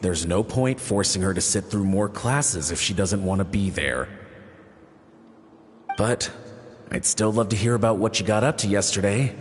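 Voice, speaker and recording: An actor voicing an easygoing, indulgent father in a game, relaxed and gently teasing. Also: lowish voice, moderate pace, close to an everyday voice. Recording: game audio with long reverb and faint noise.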